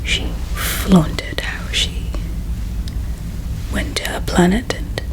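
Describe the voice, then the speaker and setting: Woman as female alien believer, grieving and devout. Breathy whisper, soft, solemn, unhurried ASMR roleplay delivery. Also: rough recording. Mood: mournful, bitter. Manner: slow, soft, solemn, with pauses. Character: grieving, devout alien priestess's daughter preparing someone for war.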